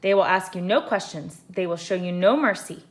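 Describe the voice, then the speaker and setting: A woman's voice: fairly low pitch, lightly acted. Woman reading as a solemn, commanding ninja master. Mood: urgent, stern. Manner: terse, measured, grave pronouncements.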